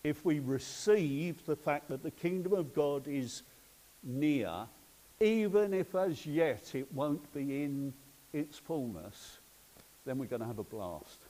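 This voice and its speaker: A man in an informal sermon as pastor, chatty and halting with many ums and uhs. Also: fairly high pitch, lively intonation, warm tone. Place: church hall. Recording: long hall reverb.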